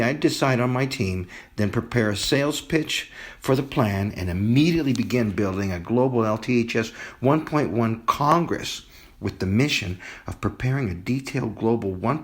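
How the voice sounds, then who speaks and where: An idealistic male visionary chef, acted by a man in a homemade audio drama. He is courteous and measured, explaining his ideas to a president in an everyday voice. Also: medium pitch, lightly acted.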